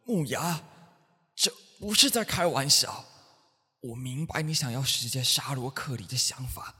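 An actor playing a stern middle-aged male priest who scolds his son, moving from low weary tones to shouting, in an audio drama. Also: expressive, a little slow. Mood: warning. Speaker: priest character. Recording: reverb with faint music.

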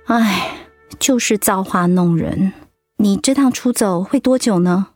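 An actress plays a sincere middle-aged woman, a loving wife and loyal friend in a radio play. Her mid-range voice is soft and measured. Faint music sits under the speech. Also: mid-range voice, lightly acted. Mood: concerned, sympathetic. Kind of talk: radio drama acting. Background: background music.

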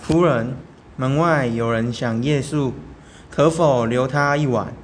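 A man's voice: mid-range voice, slow, close to an everyday voice. A teenage male student playing a deferential household maid in an amateur radio drama. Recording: faint rain noise behind. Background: rain.

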